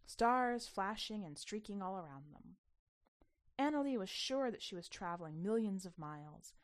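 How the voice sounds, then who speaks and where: Woman, story narrator, expressive delivery, emphatic phrasing, medium pitch, childlike wonder. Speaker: podcast host reading a short story.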